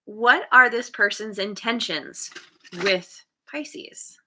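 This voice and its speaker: A woman reads tarot cards in a slow, meandering way, stopping often to search for words. Her voice is bright and forward. She is chatty and laughs at herself from time to time. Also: fairly high pitch, a little hiss or hum. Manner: hesitant, many fillers and restarts. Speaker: tarot reader. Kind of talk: casual tarot reading.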